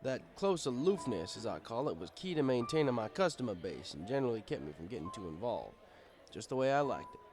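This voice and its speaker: A fatherly male pony bartender , voiced by a man narrates his own audiobook tale. He is easygoing and wry, with an unhurried conversational delivery at a middle pitch. Faint music plays behind him. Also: very animated, lightly acted. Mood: reflective. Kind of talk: first-person audiobook narration in character.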